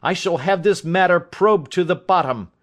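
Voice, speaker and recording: Audiobook role: furious, accusing father of mature years, played by a male reader, declamatory, raised pitch. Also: normal speed, fairly bright tone. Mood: enraged, resolute. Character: outraged banker father, shouting at his son. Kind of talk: audiobook character dialogue.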